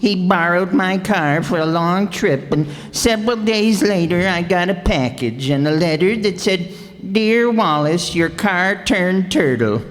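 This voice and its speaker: A woman's voice: fairly low pitch, animated, a little slow. A woman playing a meek, mischievous henpecked little man who talks primly in an old-time radio comedy. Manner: prim, timid, giggly. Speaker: radio player in a live re-enactment. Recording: echoey hall stage recording.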